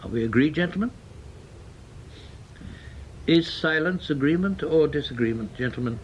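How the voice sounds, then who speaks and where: An actor playing an authoritative senior British intelligence chief in a radio drama, speaking in a formal, deliberate, businesslike way. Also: male voice, a little high, lively intonation, slight background noise, lightly acted, poor audio. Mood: measured, businesslike.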